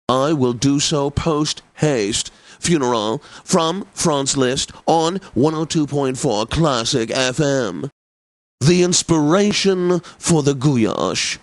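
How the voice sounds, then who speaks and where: An actor playing an effete, snobbish classical radio DJ in a video game, with flowery, theatrically drawn-out enunciation and a pompous manner. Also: male voice, mid-range voice, lively intonation, no echo. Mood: grandly obliging.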